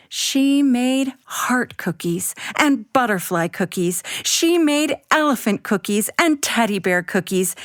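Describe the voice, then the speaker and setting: A woman narrating a scripted children's bedtime story with expressive, deliberate, simple phrasing. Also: medium pitch, dry recording, clean studio sound.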